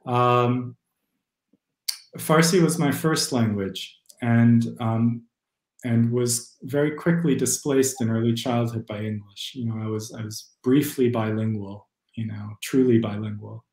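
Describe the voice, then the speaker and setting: A young adult man, a poet and critic, talking over a video call from a room at home, thoughtful and hesitant, with many pauses and fillers. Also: medium pitch, steady tone, darkish tone. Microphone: video call webcam. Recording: roomy echo.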